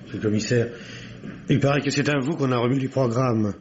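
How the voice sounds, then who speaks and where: A male actor playing a flamboyant, high-strung private secretary, effusive and stammering, in a French radio mystery play. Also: a little fast, a little hiss or hum, natural voice. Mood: wistful, obsequious. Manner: effusive, dramatic, indignant outbursts, stammering. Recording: dull vintage radio recording.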